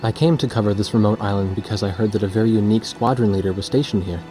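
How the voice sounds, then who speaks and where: An actor playing a thoughtful war photojournalist, narrating past events in a measured, reflective way for a game. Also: male voice, fairly low pitch, over music, natural voice. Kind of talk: scripted cinematic narration.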